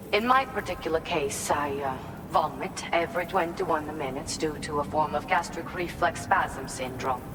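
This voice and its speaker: An actress playing a reserved woman researcher in a video game, explaining things in a measured, politely confiding way. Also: low voice, close to an everyday voice. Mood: candid, apologetic. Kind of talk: video game cutscene acting. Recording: long reverb, clear background noise.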